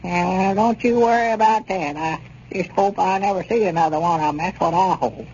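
A man playing a folksy, excitable country storekeeper with a rural Southern accent in a 1930s radio comedy serial. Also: sits high, brisk. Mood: relieved. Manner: rustic, drawling folksy talk. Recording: dull, noisy old 1930s radio recording.

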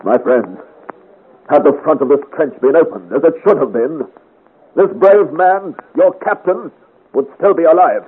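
A man's voice: a little high, expressive, measured pace, husky. An actor playing a bold, frank young swordsman hero in a 1950s radio adventure serial, speaking in formal, declamatory period style. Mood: rousing, commanding. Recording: noisy, narrow-band vintage radio recording.

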